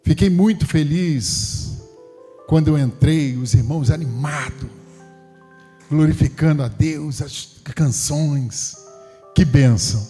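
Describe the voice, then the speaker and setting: A man's voice: fairly high pitch, slow pace, mellow, in a large hall, faint music behind. A middle-aged Brazilian man from Rio de Janeiro preaching as a guest pastor in a conversational way, often adding short tags that seek agreement. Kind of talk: church sermon with personal greetings.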